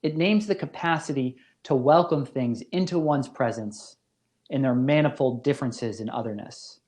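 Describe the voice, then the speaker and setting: A man giving a scripted academic lecture as a professor, with deliberate, formal phrasing and careful emphasis. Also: medium pitch, a little mellow. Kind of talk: scripted philosophy lecture narration.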